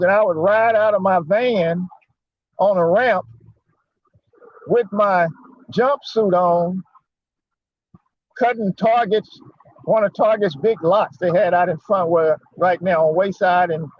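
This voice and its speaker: A man's voice: high-pitched, dry recording. A man gives an informal religious talk full of personal storytelling, speaking in a folksy, conversational way and repeating phrases for emphasis. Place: parked in a lot, talking remotely. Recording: dull lo-fi audio.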